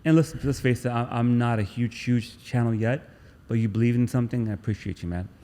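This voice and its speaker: A man's voice: medium pitch, fairly quick, warm tone, in a large hall. A man hosting a podcast, effusive and eager, repeating himself as he thanks his guest and pushes follow-up questions. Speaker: podcast host. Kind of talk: casual interview.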